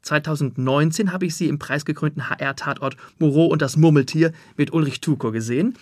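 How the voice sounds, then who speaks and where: A man's voice: a little high, a little fast, no echo, studio quality. A man hosting a radio interview, moving between prepared introductions and conversational questions to his guest. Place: radio studio.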